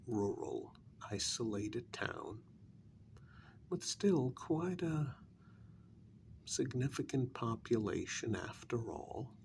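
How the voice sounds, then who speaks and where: A man playing a sly, smug kidnapper taunting his captive in an ASMR role-play, speaking softly and breathily, often half-whispering, in a halting, wryly sarcastic way. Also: fairly low pitch, vocal fry, slight background noise, exaggerated voice. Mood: smug, knowing. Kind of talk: ASMR role-play, soft-spoken monologue.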